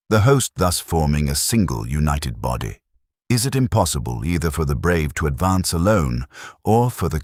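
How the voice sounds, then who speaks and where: A man reading an audiobook as scripted narration, in a measured, solemn and declarative manner. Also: rumbling low, a little mellow, husky, dry recording, studio quality.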